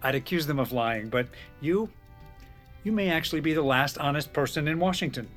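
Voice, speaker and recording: Grandiose, ailing older president played by an actor. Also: male voice, a little high, animated, over music, lightly acted. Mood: pleased, genial. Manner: oratorical, halting, easily confused. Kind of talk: audio drama acting.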